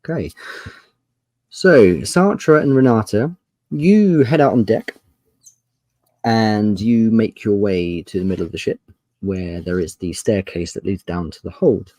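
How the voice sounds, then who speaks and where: Male game master, low voice, dry close sound, casual improvised narration. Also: animated, a little slow, mellow, dry recording.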